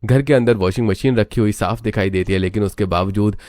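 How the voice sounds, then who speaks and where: A man's voice: on the low side, a little fast, a little mellow, dry recording. A man reading scripted, dramatic narration for a cartoon story.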